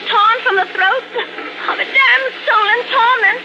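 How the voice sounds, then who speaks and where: A woman acting a fragile, tormented painter under psychiatric care in a 1950s radio drama, speaking in a halting, intense, dramatic way. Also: very high-pitched. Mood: frantic terror. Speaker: actor. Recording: dull vintage radio recording with music behind.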